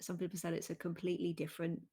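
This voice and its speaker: A woman's voice: lowish voice, monotone, very fast, mellow, husky. A woman interviewing a guest in a casual, enthusiastic, chatty way.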